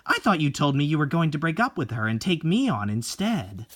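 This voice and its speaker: A man's voice: a little high, very animated, a little fast, a bit bright, a little hiss or hum. A man voicing a sly, haughty young woman with a snobby, mocking manner in a horror comic reading. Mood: smug, taunting. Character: sly, haughty, manipulative young female rival.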